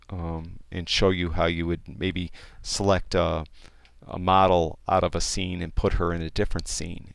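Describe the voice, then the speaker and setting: A man explaining a photo editing program in a casual, rambling tutorial, with many fillers and restarts, and a voice that is getting hoarse. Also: deep voice, a bit bright, no echo. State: losing his voice. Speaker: software tutorial instructor. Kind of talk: casual screen-recorded tutorial.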